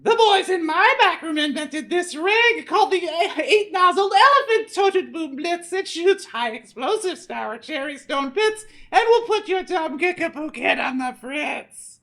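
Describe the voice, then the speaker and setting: A man voicing a gloating, hot-tempered old enemy soldier who yells boastful taunts, as in a children's storybook. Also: very high-pitched, expressive, measured pace, pitched above the performer's own voice, exaggerated voice. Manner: yelling taunts. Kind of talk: children's storybook read aloud.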